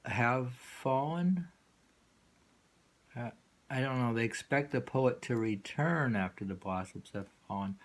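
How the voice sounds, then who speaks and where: A man reading poetry aloud and discussing it informally, in a musing, halting way that lingers and repeats lines. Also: medium pitch. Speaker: poetry reader and host.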